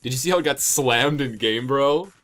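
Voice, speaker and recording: A man's voice: medium pitch, normal speed, a bit bright, close to an everyday voice. A man voicing a cool, sarcastic, foul-mouthed teenage boy with a dry, slangy manner in an amateur comic dub. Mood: nonchalant.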